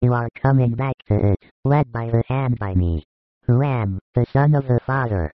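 A man voicing a compassionate, authoritative male spiritual teacher who speaks in a solemn, measured, reassuring way. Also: medium pitch, no room sound, phone-quality sound, lightly acted. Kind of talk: audiobook reading of a religious narrative.

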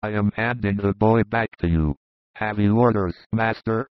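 A man voicing a jovial, confident Roman centurion in an audiobook, in a low, confiding, easygoing voice. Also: normal speed, no room sound, lightly acted. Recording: dull lo-fi dry recording.

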